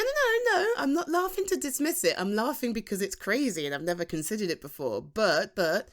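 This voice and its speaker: Giggly British best friend, played by a woman, lively intonation. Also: medium pitch. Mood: amused, incredulous, then thoughtful, musing. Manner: halting, giggly, conversational delivery. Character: playful, affectionate female best friend, giggly and teasing. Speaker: ASMR roleplay voice performer. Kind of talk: intimate, naturalistic audio roleplay addressed to the listener.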